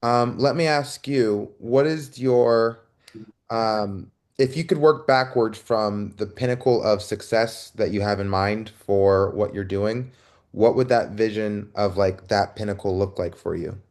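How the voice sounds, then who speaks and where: A man speaks slowly and deliberately as he interviews his guest. His voice sits somewhat low. He pauses to search for words while he builds his questions. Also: fairly even intonation, a little mellow. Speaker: interviewer and storyteller. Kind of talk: conversational interview.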